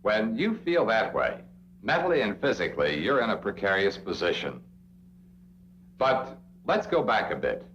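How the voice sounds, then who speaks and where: Dull old-film recording, animated American male narrator. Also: on the low side, moderate pace. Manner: direct address to the listener, rhetorical questions, emphatic. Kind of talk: 1960s instructional film narration. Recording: dull, noisy old film soundtrack with hum.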